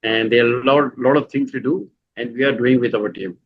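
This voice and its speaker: A middle-aged man with a Pakistani accent, answering questions at an ordinary pitch. He keeps stopping to restart his sentences and fills the gaps with "you know." The audio is slightly dull and lo-fi. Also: slightly rough. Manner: halting, full of "you know" fillers and restarts. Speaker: guest. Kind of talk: conversational interview answers.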